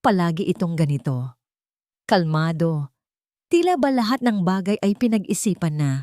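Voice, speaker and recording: Low-voiced woman narrating a dramatic romance. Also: expressive, dark tone, no echo, clean studio sound. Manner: measured, dramatic, in short suspenseful phrases. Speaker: storyteller, narrator.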